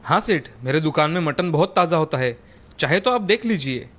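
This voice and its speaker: A man's voice: on the high side, expressive, brisk, a bit bright. A man voicing a kind, earnest young butcher and devoted son in a story, speaking politely.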